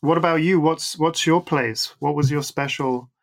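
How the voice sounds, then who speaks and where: A young man with a gravelly edge to his voice talks in relaxed, chatty conversation. He pokes fun at himself and often restarts his sentences with small hesitations. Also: fairly high pitch, normal speed. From born in Singapore, raised in the Netherlands and abroad. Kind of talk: casual conversation between friends.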